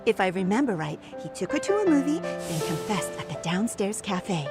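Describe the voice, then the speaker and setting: A woman's voice: medium pitch, very animated, normal speed, over loud music. An actress playing a teasing, sentimental adult woman, a familiar old friend, in an English-dubbed video game.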